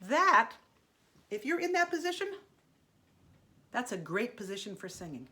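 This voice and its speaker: A woman teaching an online singing lesson in a gentle, chatty way, her voice a little congested from allergies. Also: a little high, big pitch swings, unhurried. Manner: gentle, chatty, demonstrating vocal sounds.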